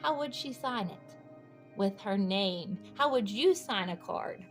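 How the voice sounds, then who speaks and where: A woman reading a children's storybook aloud in an encouraging way, pausing to ask young listeners simple questions. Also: mid-range voice, animated, faint music behind. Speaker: children's book read-aloud host.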